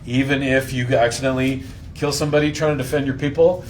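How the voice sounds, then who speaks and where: A man leading an informal Bible lesson, putting questions to his listeners in a slangy, jokey way and acting out lines loudly. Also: mid-range voice, fairly even intonation, fast, low-quality recording. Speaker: Bible study host and teacher. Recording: voice over clear background noise.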